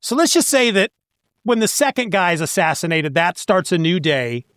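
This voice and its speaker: A man with a high voice talks casually as a podcast co-host. He retells plot points in an animated, conversational way, and the sound is dry with very little room. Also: moderate pace, dry recording. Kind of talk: casual comic book discussion.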